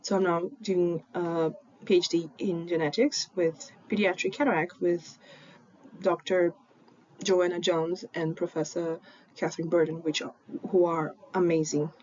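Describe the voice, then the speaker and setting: Brazilian woman in her 20s, kinda low voice, earnest, lots of ums, dull lo-fi audio w/ a little hiss. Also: flat delivery, unhurried, darkish tone. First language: Portuguese. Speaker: PhD student in genetics. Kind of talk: personal story presentation. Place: home in Fortaleza, recorded remotely. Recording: dull lo-fi recording with faint noise.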